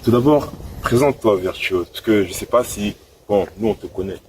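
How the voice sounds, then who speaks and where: Calm male host, low voice, short replies, heard from afar over noise. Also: moderate pace. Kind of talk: interview hosting. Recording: distant mic with clear background noise.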